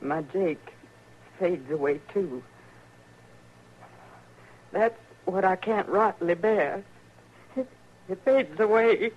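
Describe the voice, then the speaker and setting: A woman with a rural American accent playing a bitter, grief-stricken frontier mother in a radio western, stark and halting. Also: fairly low pitch, lively intonation. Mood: grieving. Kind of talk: 1950s radio western acting. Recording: muffled, noisy old radio recording.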